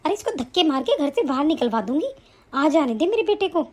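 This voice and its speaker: A woman acting a high-pitched, spiteful scolding mother-in-law. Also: very animated, brisk, darkish tone. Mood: angry, threatening. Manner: scolding, threatening, complaining. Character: spiteful, scheming, hot-tempered mother-in-law. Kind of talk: domestic family melodrama acting.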